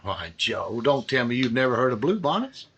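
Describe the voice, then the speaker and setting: A man plays a detective partner with an easy, jokey manner and a folksy ribbing tone. His speech is plain and relaxed, in the style of an old radio drama. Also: medium pitch, moderate pace, creaky, muffled recording, slight background noise. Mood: teasing. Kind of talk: 1940s radio drama acting.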